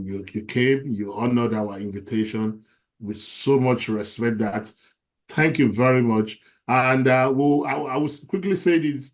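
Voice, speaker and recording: A man thanking a guest warmly in a casual community discussion, often repeating words as he goes. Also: on the low side. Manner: warm, welcoming, repeats words. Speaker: community member.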